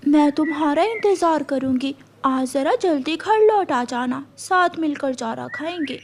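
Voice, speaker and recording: A woman's voice: high voice, animated, measured pace. A woman voicing a devoted, sulky cow wife in a cartoon, tender and pouting. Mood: loving. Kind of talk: cartoon voice acting.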